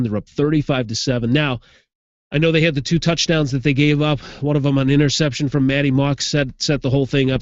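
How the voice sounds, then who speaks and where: A man's voice: medium pitch, no room sound. Brief: stuffed-up male sports host, conversational football recap, dry close sound, slightly dull audio. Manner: conversational, gets animated when amused. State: stuffed up from a sinus infection. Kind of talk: casual football recap commentary.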